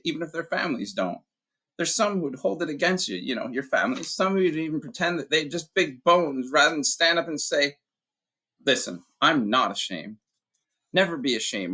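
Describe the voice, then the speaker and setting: A man voicing a hoarse, tearful half-giant. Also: mid-range voice, pushed and bright, pitched above the performer's own voice, exaggerated voice. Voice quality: hoarse, croaky. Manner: broken, emotional dialect speech. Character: half-giant gamekeeper, big, tearful, gruff and warm. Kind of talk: audiobook character voice.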